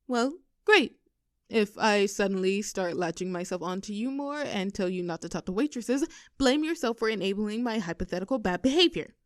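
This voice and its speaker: A woman's voice: medium pitch, dry recording, studio quality, natural voice. A woman playing an affectionate, teasing young girlfriend in an intimate roleplay audio, coaxing and giggly in a conversational way. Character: playful, clingy, affectionate young girlfriend teasing her partner.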